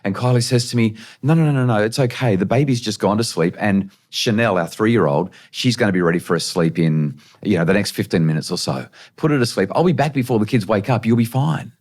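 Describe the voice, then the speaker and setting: An Australian man in his thirties, a parenting expert guest on a podcast, telling a story with lively acted-out quotes. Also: on the low side, fairly quick, few pauses. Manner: animated storyteller, acts out quotes.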